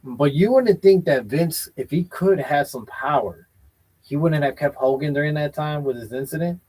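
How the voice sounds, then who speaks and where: A man's voice: a little high, normal speed, darkish tone, husky, poor audio. A man hosting a casual wrestling chat show, giving blunt, opinionated takes and often hedging with "I feel like". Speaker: podcast host.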